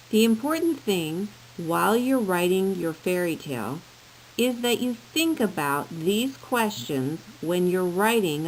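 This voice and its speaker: Female educational narrator for children: unhurried delivery, strongly varied intonation, plain explanatory teacher style. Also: lowish voice, poor audio. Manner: simple, explanatory phrasing addressed to young learners. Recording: clear background hiss.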